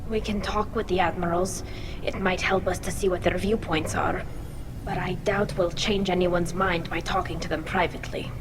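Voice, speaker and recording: A woman's voice: lowish voice, steady tone, normal speed, noisy background. A woman playing an earnest, emotional young alien in a video game, her voice filtered through a helmet. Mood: resolved. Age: young adult. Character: earnest young female alien engineer, anxious and loyal. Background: music.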